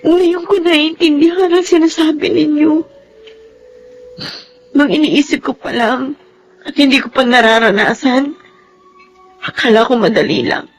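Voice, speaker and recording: A woman with a Filipino accent playing an anxious, fragile young soldier's wife in a radio drama, breathy, husky and pleading. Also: high voice, unhurried, long pauses, soft background music, low-quality recording. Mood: subdued. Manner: emotional, pleading.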